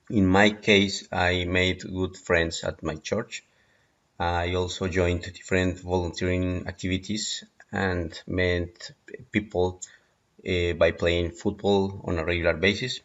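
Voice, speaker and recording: A man in his thirties speaks English with a Colombian Spanish accent. His voice sits very low, and he talks in a measured way with frequent "eh" hesitations. The recording sounds dull and lo-fi. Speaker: migrant sharing job search advice. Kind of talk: prepared personal talk.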